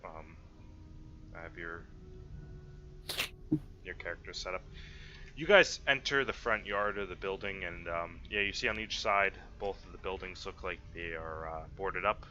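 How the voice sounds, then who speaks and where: A man running an online tabletop game as game master, explaining and narrating informally in halting phrases. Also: deep voice. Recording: dull lo-fi mic with faint noise.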